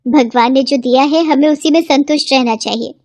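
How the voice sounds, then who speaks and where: A woman's voice: high-pitched, fairly quick, a little mellow, no room sound. A woman voicing a sensible, nagging village farmer's wife in a cartoon moral story. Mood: scolding.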